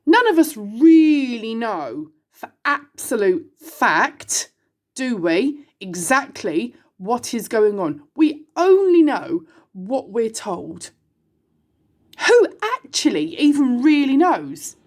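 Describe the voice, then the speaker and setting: A woman's voice: a little high, big pitch swings, slow, a little mellow. Brit woman in her 40s, vlogging straight to camera, blunt and emphatic, swears a bit. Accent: British. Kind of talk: candid monologue to camera.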